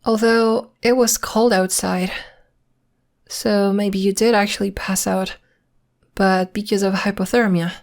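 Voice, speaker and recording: A woman playing a secretive, polite and protective young werewolf in an ASMR roleplay, speaking in a measured, hesitant, matter-of-fact way. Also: medium pitch, fairly even intonation, close to an everyday voice. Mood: concerned, mildly reproving.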